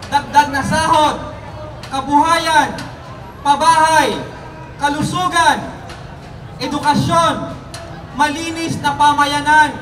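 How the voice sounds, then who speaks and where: Male Filipino protest orator, piercing and emphatic, rhetorical point-by-point delivery, echoey distant outdoor PA. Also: very high voice. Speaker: protest rally speaker. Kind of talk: fiery political rally speech. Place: outdoor street rally. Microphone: public address microphone. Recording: echoing distant loudspeaker, crowd and noise.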